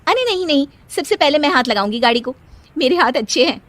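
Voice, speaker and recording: A woman voicing a proud, bossy young wife in a cartoon story, boastful and quick to snap back. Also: high-pitched, expressive, a bit bright, no room sound. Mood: bossy, snappy. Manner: brash, boastful, snapping back. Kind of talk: animated cartoon story dialogue.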